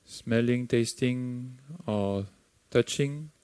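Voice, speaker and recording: A man with a Sri Lankan accent guiding a meditation, speaking calmly and gently with long pauses between phrases. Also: medium pitch, clear, resonant tone, no room sound. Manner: slow, calm, gently instructive with long pauses. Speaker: meditation teacher. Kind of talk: guided meditation.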